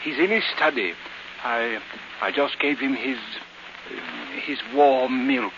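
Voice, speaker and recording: An actor playing a nervous, deferential butler in 1940s radio style, halting and stammering. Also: male voice, mid-range voice, natural voice. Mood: uneasy, deferential. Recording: muffled, hissy 1940s radio recording.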